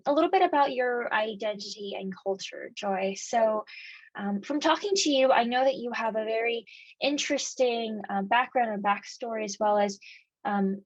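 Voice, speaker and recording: A woman's voice: fairly high pitch, dry recording, highs cut off. A woman hosting an interview, asking long polite questions with plenty of hesitations. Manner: polite, uses many um fillers while asking long questions. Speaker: interviewer, host.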